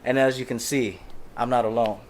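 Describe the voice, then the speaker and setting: A man's voice: medium pitch, steady tone, brisk. A young man hosting a podcast in a casual, jokey way, often calling his guest "bro". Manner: laid-back, jokey, calls guest "bro". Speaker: podcast host. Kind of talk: casual chat.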